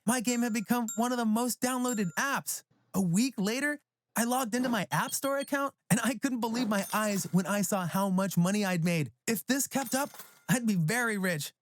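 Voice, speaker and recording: A man narrating a scripted animated story as a proud, resentful teenage boy. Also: high voice, close to an everyday voice.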